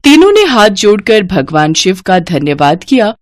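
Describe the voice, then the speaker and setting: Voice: medium pitch, very animated, moderate pace. A narrator reading a scripted devotional myth story.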